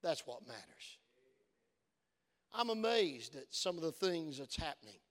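A man's voice: fairly high pitch, very animated, unhurried, a bit bright. A man preaching a sermon as pastor, with a voice worn from use and an earnest storytelling manner that builds to fervent exhortation. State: voice worn from many phone calls. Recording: long reverb of a church hall.

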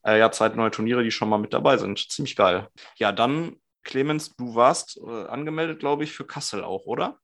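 Guy hosting a podcast, chatty, lots of fillers and tag questions, mid-pitched voice. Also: normal speed, gravelly, few pauses, fairly bright tone. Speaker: podcast host. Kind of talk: casual podcast chat.

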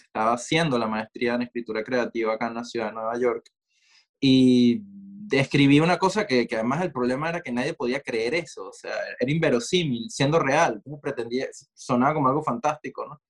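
A man's voice: mid-range voice, a little fast. Reflective Venezuelan man, easygoing talk. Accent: Venezuelan Spanish. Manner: reflective, digressive, with many fillers and asides. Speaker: interview guest, writer. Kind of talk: conversational interview.

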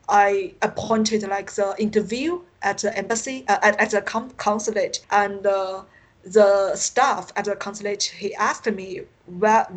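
A woman with a Chinese accent and a medium-pitched voice talks casually as an interview guest over an internet call. Chinese is her native language, so her English comes haltingly as she searches for words, and she often chuckles. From China. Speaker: interview guest, science fiction writer and translator.

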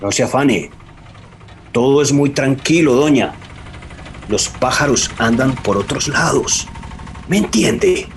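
Un actor de radionovela con acento colombiano interpretando a un conductor de camión tranquilo y despreocupado. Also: male voice, medium pitch, animated, slow, vocal fry, long pauses. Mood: nonchalant. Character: unhurried, reassuring male truck driver. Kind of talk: radio drama acting. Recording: engine and ambient noise behind.

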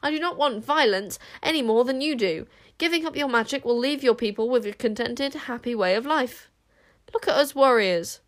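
A woman voicing a haughty, imperious warrior queen with a quick temper in an audiobook story. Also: a little high, fairly bright tone, close to an everyday voice. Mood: exasperated. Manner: contemptuous, imperious, snapping.

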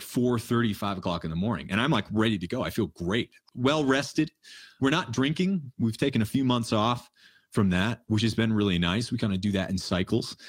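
A man in his thirties hosting a casual livestream Q&A, answering listener questions in a relaxed, rambling way and laughing often. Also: medium pitch, breathy edge, no room sound, clean studio sound. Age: 30s. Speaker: livestream Q&A host. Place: home in the mountains.

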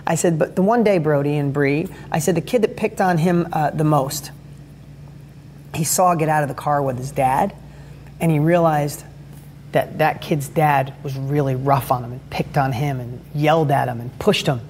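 A man with a strikingly high-pitched, light voice talks in an energetic, rambling way, often restarting his sentences. He tells stories with real enthusiasm while he gives motivational advice as a host. A faint hum of background noise sits under him. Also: normal speed. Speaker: host and father giving advice. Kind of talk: motivational talk with storytelling.

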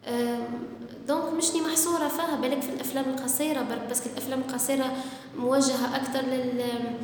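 A woman's voice: sits high, steady tone, racing, a little mellow. A woman with an Algerian Arabic accent, a screenwriter speaking thoughtfully in a casual interview and mixing in French words. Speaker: screenwriter guest. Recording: echoey room with long reverb, faint noise.

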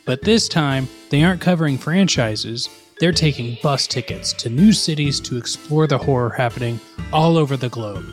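A man reading a promo for another podcast in a measured announcer delivery. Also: medium pitch. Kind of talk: promo read.

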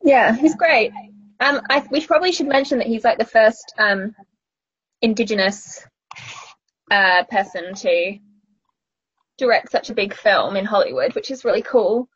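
Female co-host, husky, earnest explainer, dull dry lo-fi sound. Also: on the high side, a little slow, pauses often. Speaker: podcast co-host. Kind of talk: casual chat about films.

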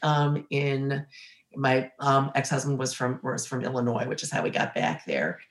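A woman's voice: very deep voice, fairly even intonation, moderate pace, echoey room. A woman in her sixties from Ohio, a former litigator, reflecting on her past in an interview, with many fillers and restarts. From Ohio, USA. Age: 60s.

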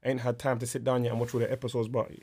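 A man's voice: medium pitch, little pitch movement, very fast, crowd noise. A man with a South London accent chatting casually as a podcast guest, using heavy street slang and frequent tag questions. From South London, England. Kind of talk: casual chat.

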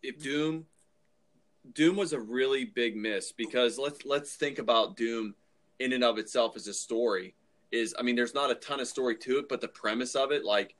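A man's voice: mid-range voice, normal speed, a bit bright. A man co-hosting a podcast, talking casually and emphatically, with informal, opinionated phrasing. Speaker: podcast co-host.

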